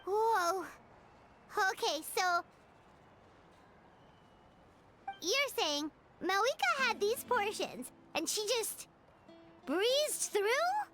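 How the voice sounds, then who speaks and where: A woman's voice: very high-pitched, big pitch swings, slow pace, a bit bright, soft background music, full character voice. A woman voicing a tiny, dramatic, food-loving fairy companion who talks about herself in the third person, in a game cutscene. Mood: curious. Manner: third-person self-talk, whiny and theatrical.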